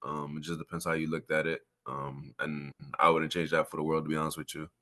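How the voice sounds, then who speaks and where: A man's voice: very deep voice, flat delivery, a little fast, sharp tone. A man in his twenties from Miami, talking casually and earnestly as a college athlete being interviewed, often repeating himself for emphasis. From Miami, Florida. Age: 20s. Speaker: college football player, interview guest.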